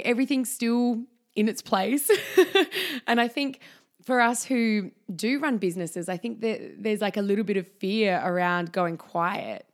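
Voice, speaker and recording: A woman hosting a solo podcast, earnest and soft-spoken, her voice slightly husky from being unwell. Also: medium pitch. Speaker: podcast host. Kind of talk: reflective solo podcast monologue.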